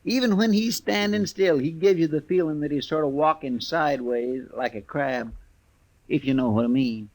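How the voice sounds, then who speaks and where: An American actor with a rural drawl playing an earnest, simple-hearted marshal's helper in an old-time radio western. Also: male voice, fairly high pitch, moderate pace, close to an everyday voice. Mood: eager, earnest. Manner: folksy, rambling, deferential.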